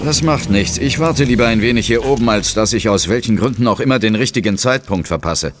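An actor playing a resolute, dry-witted ghost hunter in an audio drama, speaking and narrating with self-assured drama. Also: male voice, mid-range voice, a little fast, lightly acted. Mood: determined. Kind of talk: audio drama acting with first-person narration. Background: music.

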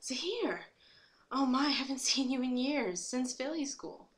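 A woman's voice: high-pitched, expressive, measured pace, pitched above the performer's own voice. A woman voicing an effusive pony who gushes and exclaims. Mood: delighted surprise. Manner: gushing, exclaiming. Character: effusive pony greeting an old school friend. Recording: noisy home recording.

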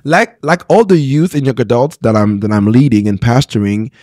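A man's voice: mid-range voice, normal speed, darkish tone, no room sound. A pastor in his thirties telling his own story in an interview, earnest, often restarting and checking in with "right?", on a close podcast mic. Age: 30s. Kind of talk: candid personal storytelling. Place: small podcast studio. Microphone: close studio podcast mic.

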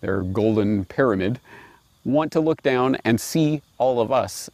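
Expressive middle-aged man, musing aloud. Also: mid-range voice, measured pace, no room sound. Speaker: presenter reading fables aloud and commenting. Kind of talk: reflective commentary and storybook reading. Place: outdoors in a grassy park. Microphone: lapel.

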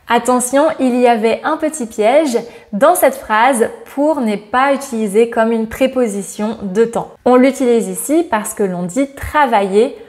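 Smiling woman teaching French grammar. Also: on the high side. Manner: lively, smiling, slows down to stress examples. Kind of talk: scripted grammar lesson to camera. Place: bright open-plan room indoors. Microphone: lapel mic.

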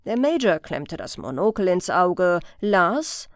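Female story narrator, measured slow pace, scripted audiobook read, dry studio-like sound. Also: fairly low pitch, sing-song, no room sound.

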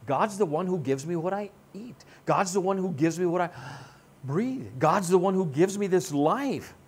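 A man in his sixties preaching a sermon, animated and joking, on a church stage. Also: high voice, normal speed, breathy edge. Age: 60s. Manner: animated, joking, gestures broadly while seated. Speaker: pastor. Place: church stage with band gear.

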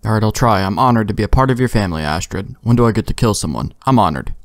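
A man's voice: on the low side, fast, dry recording, studio quality. A man giving casual, wry let's play commentary, joking and swearing.

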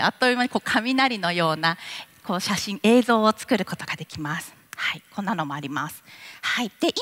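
A woman's voice: mid-range voice, fairly bright tone. A young woman giving a live product demo on a conference stage, politely guiding the audience step by step. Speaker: product demonstrator. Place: large conference hall stage.

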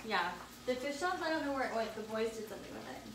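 A woman in her thirties chats and banters quickly while cooking. The room is echoey, with clear sizzling kitchen noise behind her. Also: on the high side, expressive, far from the mic. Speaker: host of a home cooking vlog. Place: home kitchen, indoors. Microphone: camera a few feet away.

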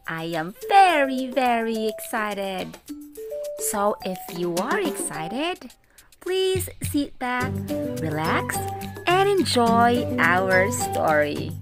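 A woman with a fairly high, lively voice reads to young children as their teacher. She goes very slowly and deliberately, in a sing-song way. Music plays clearly behind her. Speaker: teacher reading a storybook aloud for children. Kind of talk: slow, animated read-aloud for young children.

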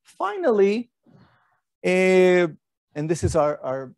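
A middle-aged man lectures in a loose, chatty way, often asking his listeners questions. His voice sits a little high for a man but has a dark, mellow tone. The recording is close and dry. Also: huge pitch swings, slow pace, vocal fry, no echo. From Argentina. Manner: conversational, asks rhetorical questions, frequent fillers. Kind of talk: academic lecture talk.

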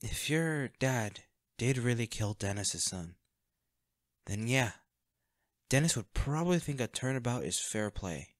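A man playing a devoted, protective bodyguard with a dark past in an intimate audio roleplay, speaking earnestly and gravely. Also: mid-range voice, expressive, unhurried, no room sound, studio quality, natural voice. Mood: grave, determined.

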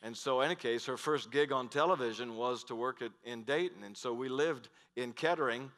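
Middle-aged male pastor, headset mic, emphatic sermon delivery with loud and hushed swings, bright tone, big hall reverb. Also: medium pitch, brisk. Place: large church stage.

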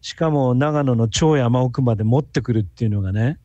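A man's voice: mid-range voice, brisk, mellow, no room sound, dull audio. A man who is a guest researcher, giving explanatory answers in a radio interview.

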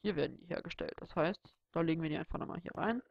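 Young man with a gravelly, slightly stuffy voice. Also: fairly high pitch, fast talker, muffled recording, a little hiss or hum. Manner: relaxed, rambling, thinking aloud. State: has a cold. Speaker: Let's Play host. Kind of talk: casual gameplay tutorial commentary.

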